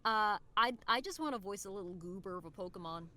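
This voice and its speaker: A young woman with a very bright, forward voice talks as a podcast guest. She is casual and eager, rambling through her thoughts and dropping "like" in often. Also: mid-range voice, expressive, fast talker. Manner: enthusiastic, rambling, peppered with "like".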